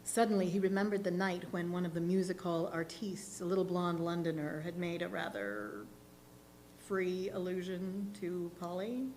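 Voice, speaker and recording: A woman reading literary fiction aloud as a theatre reader, with measured, deliberate phrasing. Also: on the low side, a little mellow, a little hiss or hum, low-quality recording.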